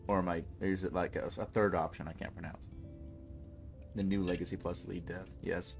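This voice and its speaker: Deep-voiced man with a stuffy nose. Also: flat delivery, moderate pace, gravelly, soft background music. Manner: rambling, jokey, talks to chat. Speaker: game streamer. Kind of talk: casual live-stream chat while playing.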